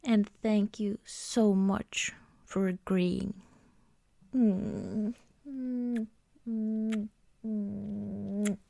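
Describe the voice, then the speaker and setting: A woman doing an ASMR roleplay as an affectionate girlfriend, chatting casually and hesitantly in a soft, intimate way. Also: mid-range voice, steady tone, extremely slow, gravelly. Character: affectionate, cozy girlfriend baking at Christmas.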